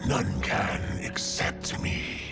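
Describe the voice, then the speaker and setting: An actor playing a gruff, honorable mutant warrior with a growling, gravelly voice in a video game cutscene. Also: male voice, very slow. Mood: tense, defiant. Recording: loud music under the voice.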